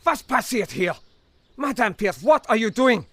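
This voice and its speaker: A man's voice: very high-pitched, lively intonation, a little slow, background noise, exaggerated voice. Guy acting strict officer, formal n clipped, voice jumps up and down, radio drama. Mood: suspicious. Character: stern, formal German army lieutenant, male.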